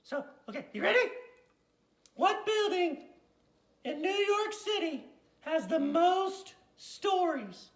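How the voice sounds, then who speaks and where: Kids' puppet show host: boastful, giggly little girl, very high and swooping, gushing exclamations, echoey room. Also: expressive, slow pace, pauses often, exaggerated voice. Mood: pondering, playful. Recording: roomy echo, faint music.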